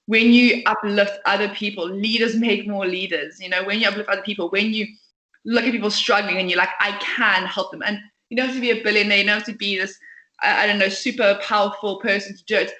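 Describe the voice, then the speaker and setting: A woman's voice: mid-range voice, fairly even intonation, quick pace, bright, forceful tone. A young woman, a company founder, talking passionately and at length as a podcast guest. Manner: passionate, chatty, outspoken. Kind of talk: podcast interview. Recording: echoey room.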